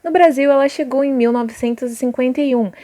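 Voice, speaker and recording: High-voiced Brazilian woman teacher. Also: quick pace, dark tone. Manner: addresses pupils directly, rhetorical questions. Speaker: schoolteacher. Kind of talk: informal recorded lesson, with reading aloud from textbook.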